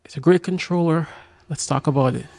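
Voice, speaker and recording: A young man with a raspy voice from a cold, casually and eagerly reviewing a game controller to camera in a small home studio. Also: fairly high pitch, brisk. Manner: enthusiastic, talks straight to camera, many fillers. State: getting over a cold. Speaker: tech reviewer. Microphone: boom mic on arm.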